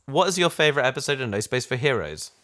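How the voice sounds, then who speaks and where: A man's voice: medium pitch, very animated, quick pace, bright, forceful tone. A man chatting casually on a podcast, posing questions and working through thoughts with hesitant phrasing. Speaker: podcast discussion partner asking questions.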